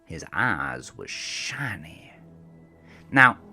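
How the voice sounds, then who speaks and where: A man acts out a gruff, middle-aged American trucker from the backroads in a horror audio drama. His voice sits low but swings widely as he rambles through his tale. He is cranky and foul-mouthed, with a folksy rural accent and turn of phrase. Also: sing-song, very slow, bright, forceful tone, faint music behind, exaggerated voice. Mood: tense, haunted. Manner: folksy, profane, rambling storytelling. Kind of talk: first-person horror audio drama narration.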